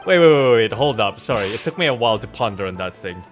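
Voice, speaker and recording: Guy streaming a game, lowish voice, chatty, music playing behind him. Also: expressive, fast. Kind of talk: casual game commentary and read-aloud.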